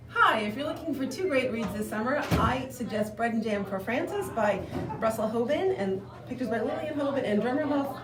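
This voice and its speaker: A woman's voice: on the high side, expressive, moderate pace, background noise. A woman, a children's librarian, recommending favorite books for summer reading. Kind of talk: book recommendation. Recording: roomy, distant mic, crowd chatter behind.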